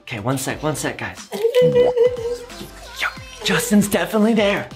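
A man, giving exaggerated, excitable gameplay commentary to viewers and often repeating his phrases. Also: on the high side, moderate pace, echoey room, over music. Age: 20s. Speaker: gaming video host.

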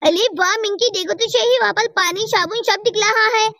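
Very high-pitched, excitable little schoolgirl. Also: moderate pace, recorded from a distance. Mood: gleeful. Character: excitable, easily embarrassed little schoolgirl chattering with friend.

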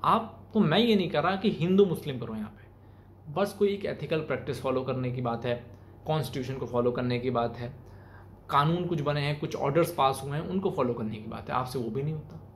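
A man's voice: medium pitch, a little fast. A young man with an Indian accent giving emphatic, colloquial opinion commentary to camera in a small room. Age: young adult. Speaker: video commentator, channel host. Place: small plain room indoors. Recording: clearly noisy room recording.

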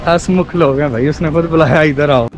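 A man's voice: fairly high pitch, brisk, a little hiss or hum. A man in his twenties with a Pakistani accent, chatting informally to camera as a travel vlogger outdoors. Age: 20s. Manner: informal, chatty, addresses viewers as brother. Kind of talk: casual vlog narration to camera. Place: outdoors on streets and in gardens. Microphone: handheld action camera at arm's length.

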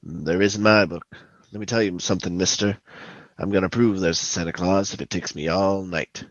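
A man playing a stern, clipped, deadpan police detective in a parody of a 1950s radio drama. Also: low voice, fairly quick, no echo, highs cut off, close to an everyday voice.

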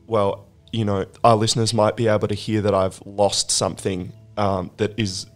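A hoarse man who has lost his voice, hosting a recovery podcast and musing in a halting, reflective way. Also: on the low side, level tone, soft background music. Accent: British. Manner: halting, with many restarts. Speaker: podcast host. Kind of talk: reflective casual chat.

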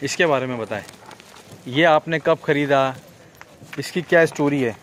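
A chatty man with a fairly high voice, hosting a casual interview and filling pauses with murmurs of agreement. He is recorded outdoors at night on a handheld camera, with faint background hiss. Talk runs in an easy, informal flow. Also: fairly bright tone. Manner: chatty, prompting with frequent hmm and haan. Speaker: vlogger interviewing a goat farm owner. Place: outdoor goat farm yard at night.

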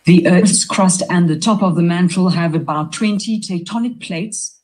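A woman's voice: fairly low pitch, normal speed, darkish tone. A text-to-speech voice of a woman reading text aloud, played through speakers and picked up from a distance. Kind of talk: synthetic read-aloud.

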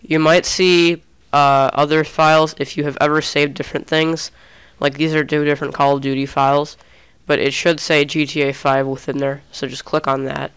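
Young man, sick, casual tutorial talk, very clear smooth voice, slightly high. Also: level tone, normal speed, few pauses, a bit bright. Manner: casual, chatty, restarts often. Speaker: gaming tutorial creator. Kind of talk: screen-recorded tutorial.

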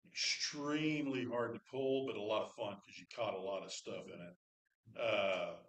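A middle-aged man, giving a relaxed, loud informal lecture in a classroom with no microphone. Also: on the low side. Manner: loud, relaxed, folksy delivery. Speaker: park superintendent giving a training talk. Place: classroom with projector screen, indoors. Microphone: far camera, no microphone. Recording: echoey room, speaker far from mic.

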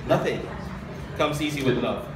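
A young man performing spoken word poetry live, earnest and deliberate, with dramatic pauses. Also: medium pitch. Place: small live open-mic event. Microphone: handheld and stand microphone, heard from a distant camera. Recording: distant, very echoey, noisy live recording.

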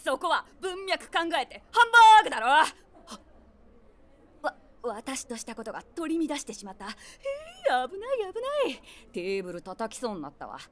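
A woman's voice: sits high, wildly swooping pitch, normal speed, fairly bright tone, heavily acted. An actress playing a nosy, imaginative middle-aged woman who narrates a comic audio drama with wry, theatrical asides. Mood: flustered outrage. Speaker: narrator and main character.

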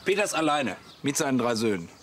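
A stubborn, bossy husband in a radio comedy play. He speaks quickly and insistently, with very animated intonation, over clear outdoor background noise. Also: medium pitch. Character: stubborn, alarmist husband organising campsite night watch. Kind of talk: German radio comedy drama acting.

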